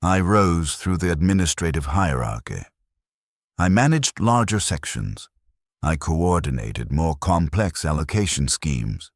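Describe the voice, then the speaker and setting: A man delivers scripted first-person narration in a profoundly low voice. His phrasing is measured and solemn, yet the pitch moves expressively. The sound is close and dry, suited to a cinematic story. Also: sing-song, no room sound.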